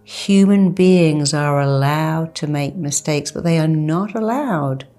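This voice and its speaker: A woman leading a guided meditation as a therapist, in a deliberate, reassuring manner with repeated, emphatic phrases. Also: low-pitched, mellow, faint music behind. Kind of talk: guided meditation, soothing hypnotherapy-style instruction.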